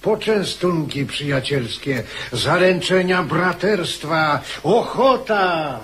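A man's voice: fairly high pitch, animated, slow pace, a bit bright, a little hiss or hum. An actor playing an indignant, mocking nobleman soldier in a radio drama, with declamatory delivery. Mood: wry recounting.

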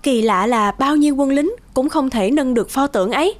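A woman playing a high-voiced, earnest young wife. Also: a little fast, slight background noise, close to an everyday voice. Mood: curious, encouraging. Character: gentle, earnest, devoted young wife in folktale. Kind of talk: Vietnamese animated folktale dubbing. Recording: music behind the voice.

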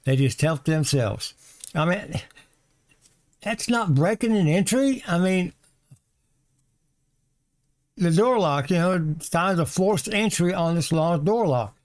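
A man's voice: high voice, expressive, takes it slowly, pauses often. A man reading a news article aloud from his screen and breaking off for sarcastic asides and chuckles, recorded on a desktop microphone. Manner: reads text aloud, then adds sarcastic asides and chuckles. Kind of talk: casual commentary on a read-aloud article.

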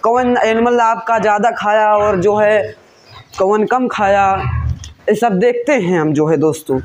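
High-pitched man, casual farm vlog, rambling with fillers, faint background hiss, phone recording. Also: unhurried. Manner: rambling, many fillers, addresses viewers as friends. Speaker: pig farmer. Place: indoor pig farm shed.

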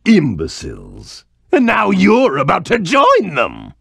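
An actor playing a pompous little tyrant villain in a video game, sneering, with a gravelly voice. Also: male voice, sits high, huge pitch swings, slow pace, no echo, clean studio sound, heavily acted. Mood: sneering, menacing.

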